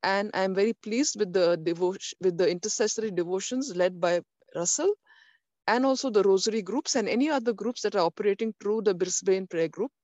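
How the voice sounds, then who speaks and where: A woman delivering a prophetic message in the first person, slow and solemn, with frequent pauses and restarts. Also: medium pitch, darkish tone, dry recording. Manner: slow, solemn, pausing often. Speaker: prophetic speaker. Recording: dull, muffled, dry sound.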